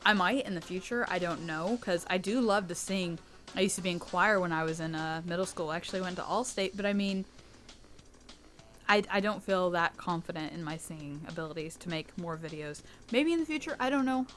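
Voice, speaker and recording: A young American woman who hosts her channel, answering fan questions in a candid, chatty way with a low, rich voice. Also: brisk, a bit bright. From United States. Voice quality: low rich voice, sometimes taken for a boy. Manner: chatty and candid, with laughs and restarts. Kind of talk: casual Q&A monologue.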